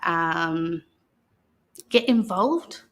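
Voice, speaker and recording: An Australian woman speaking as a guest storyteller, talking and reading a picture book aloud in a gentle manner. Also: on the low side, lively intonation, very slow. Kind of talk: unscripted talk and picture-book read-aloud.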